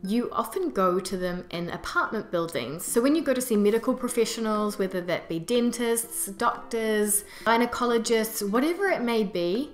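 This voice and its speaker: A woman's voice: on the high side, expressive, moderate pace, hardly any pauses. Casting: young New Zealand woman, chatty expat vlogger, emphatic delivery, roomy sound, music underneath. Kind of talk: casual to-camera vlog chat. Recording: roomy echo with music behind. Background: background music.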